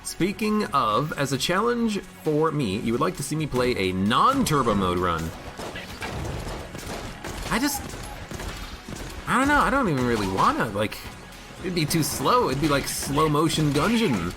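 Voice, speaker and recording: A slightly hoarse man chats his way through a game stream, his pitch bouncing lively between high and low as he reacts. He talks in an easy, rambling manner, and game music plays clearly behind him. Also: unhurried, pauses often. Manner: chatty, reads chat aloud, musing. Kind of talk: casual gameplay commentary.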